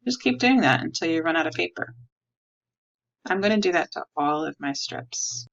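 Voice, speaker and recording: Low-pitched, creaky woman teaching crafts. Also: lively intonation, normal speed. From United States. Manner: simple, clear step-by-step phrasing. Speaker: art teacher. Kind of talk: step-by-step craft instruction and simple storytelling. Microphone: recording a tabletop demonstration under an overhead camera. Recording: slightly dull, lo-fi audio.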